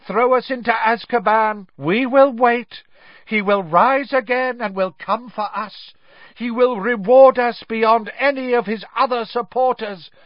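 A man's voice: very high-pitched, no echo, dull audio, pitched above the performer's own voice. Man voicing a proud, fanatical villainess. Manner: slow, loud, imperious proclaiming. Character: proud, fanatical dark-haired villainess, defiant prisoner.

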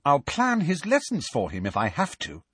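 A man's voice: on the high side, wildly swooping pitch, normal speed, a bit bright, husky, dry recording, voice pushed up, exaggerated voice. A man voicing an earnest anxious schoolgirl. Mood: determined.

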